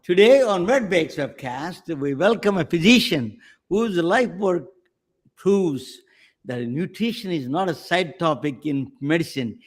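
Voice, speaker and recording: Halting Indian-accented male host. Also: on the high side, expressive. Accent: Indian English. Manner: halting, with many restarts. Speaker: webcast host. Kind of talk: hosting an interview.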